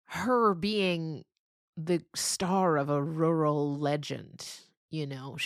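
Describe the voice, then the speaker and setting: A woman being interviewed as a novelist, telling stories casually in an animated, rambling way with emphatic descriptions. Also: low-pitched, vocal fry, no echo, studio quality.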